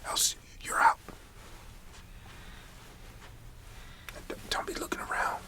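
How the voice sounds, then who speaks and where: A man playing a stern but caring high school principal in a whispered ASMR roleplay, scolding a student in informal, folksy language with a breathy voice. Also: a little fast, close to an everyday voice. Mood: stern, warning. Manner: informal, admonishing, folksy phrasing.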